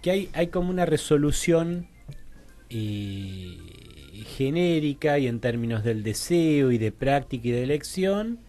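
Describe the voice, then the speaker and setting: Argentine guy explaining like a professor on radio, medium pitch, stop-start phrasing, faint music. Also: lively intonation, mellow. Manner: didactic, halting, frequent checking tags. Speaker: interview guest, university teacher and researcher. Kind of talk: radio interview, academic explanation.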